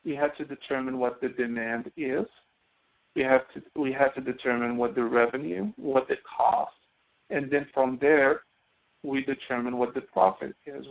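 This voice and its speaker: A man teaching a live software tutorial as a course instructor, explaining things step by step, heard through narrow phone-like audio. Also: medium pitch, creaky.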